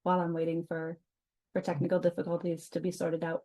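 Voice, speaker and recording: A woman's voice: lowish voice, monotone, brisk, mellow. A woman moderating a video-call webinar, chatty and upbeat, with frequent ums and restarts. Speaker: webinar moderator and food science advisor. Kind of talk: casual webinar hosting.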